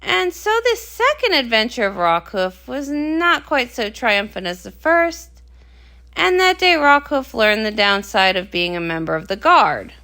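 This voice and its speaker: A woman narrating a comic read-aloud in an expressive storytelling style. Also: a little high, unhurried.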